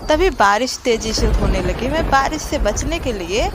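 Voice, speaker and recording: A woman's voice: fairly high pitch, animated, normal speed, background noise, close to an everyday voice. A woman voicing a male wolf, a stranger in the forest, explaining himself plainly in a children's cartoon story. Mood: calm, explaining. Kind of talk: animated children's moral story. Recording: loud music behind.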